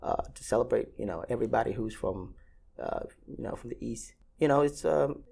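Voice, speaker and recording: A man's voice: mid-range voice, a little fast, gravelly, a little mellow, husky. A Vietnam-born ramp agent in his thirties, answering interview questions casually with many fillers and restarts.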